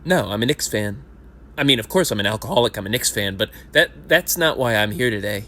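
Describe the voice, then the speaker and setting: A man's voice: on the low side, lively intonation, brisk, pushed and bright. A man in his thirties playing a self-pitying lifelong sports fan at a support group, rambling and self-deprecating, and sometimes rising into indignant rants in an audio play. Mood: earnest confession. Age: 30s. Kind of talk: amateur audio play acting.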